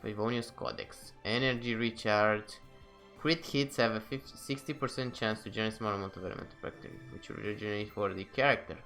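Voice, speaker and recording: A man with a bright, forward voice chats casually through his gameplay as a video host. Faint game music sits behind him. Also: fairly low pitch, fairly quick.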